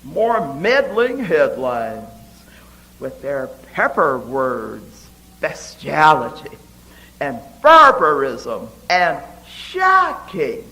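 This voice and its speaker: A man's voice: on the high side, huge pitch swings, very slow, sharp tone, full character voice, low-quality recording. A man reading the part of a hateful, boastful husband in a poem, with emphatic contempt. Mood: resentful muttering. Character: sneering, hateful Southern husband, boastful and resentful.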